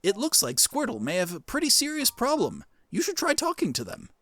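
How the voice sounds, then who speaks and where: A man's voice: very high voice, huge pitch swings, fairly quick, fairly bright tone, voice pushed up, full character voice. A man voicing an elderly, scholarly plant-creature professor in a game, curious and kindly, with a quaint, theatrical, musing way of talking. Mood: surprised, urging. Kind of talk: cartoon game character dub. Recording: music behind the voice.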